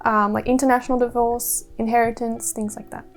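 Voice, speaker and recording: A woman's voice: a little high, flat delivery, a little fast, soft background music. A woman, a guest on a podcast, chatting casually and trailing off with fillers. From Australia. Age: 20s. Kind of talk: casual chat.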